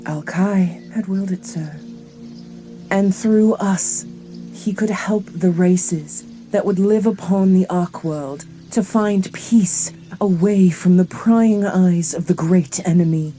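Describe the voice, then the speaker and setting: A woman playing a dignified old matriarch of giant earth titans, slow and solemn, in a fantasy audio drama. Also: fairly low pitch, warm tone, faint music behind. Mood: solemn, explaining.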